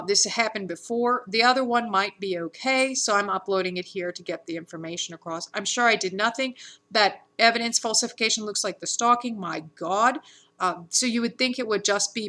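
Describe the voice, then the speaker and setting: Woman vlogger, bright tone, halting, insistent delivery full of fillers. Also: mid-range voice. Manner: rambling, halting, many fillers and restarts; earnest, insistent. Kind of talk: unscripted monologue.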